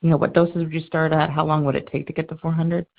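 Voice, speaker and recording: A woman's voice: sits low, little pitch movement, fast talker, vocal fry. Woman analyst on a tinny phone line. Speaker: financial analyst caller. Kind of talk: asking questions on an earnings call. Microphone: telephone.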